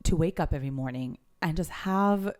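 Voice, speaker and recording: A young woman hosting a casual chat podcast, bubbly and expressive, laughing easily as she talks. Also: sits low, normal speed. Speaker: podcast host.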